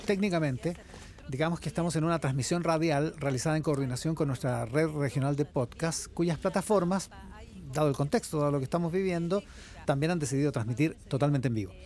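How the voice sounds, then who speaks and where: An actor playing an earnest radio newscaster announcing a strange emergency live in an audio drama. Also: male voice, a little high, brisk, darkish tone, close to an everyday voice. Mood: urgent, serious. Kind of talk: scripted live-broadcast announcement in audio fiction.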